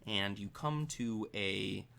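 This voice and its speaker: A man narrating an improvised tabletop game as game master in a casual, halting way. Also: on the low side, vocal fry, sharp tone. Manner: casual, halting, filler-heavy.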